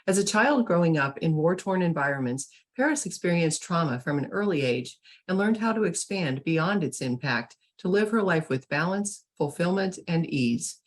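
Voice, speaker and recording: A woman with a notably low, deep voice hosts an interview and sounds upbeat and enthusiastic. She reads a guest's bio and welcomes her warmly to the conversation. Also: expressive, moderate pace, few pauses. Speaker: host and publisher. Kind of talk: online summit interview.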